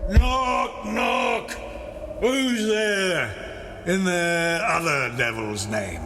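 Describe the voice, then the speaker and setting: A drunken, bawdy porter from a Shakespeare play, grumbling and exclaiming theatrically. He drags out his words at an unhurried pace and his voice swoops widely up and down. There is clear ambient noise behind him. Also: fairly high pitch, wildly swooping pitch, full character voice. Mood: mocking, bellowing. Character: drunken, grumbling, bawdy castle porter imagining himself hell's doorman. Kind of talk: theatrical Shakespearean monologue, audiobook performance.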